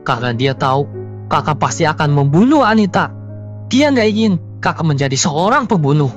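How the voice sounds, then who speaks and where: Earnest young policeman, lightly low woman's voice. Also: very animated, brisk, dull audio. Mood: earnest, pleading. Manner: earnest, urgent, imploring. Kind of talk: melodramatic horror cartoon acting. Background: background music.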